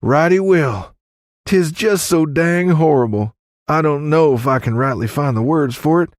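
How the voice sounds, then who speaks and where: A man's voice: a little high, unhurried, no room sound, clean studio sound, voice pushed up, lightly acted. A man voicing a frantic young cowboy messenger in a story, squawking and breathless, with folksy drawling talk. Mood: distraught. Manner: stammering, folksy Old West talk.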